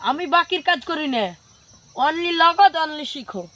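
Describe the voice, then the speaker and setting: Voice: extremely high pitch, unhurried, background noise. A performer playing a pushy young villager in an amateur comedy skit, insistent and repetitive. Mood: brash, insistent. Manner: insistent, repetitive, loudly dismissive. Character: pushy young man hawking an app as cure-all.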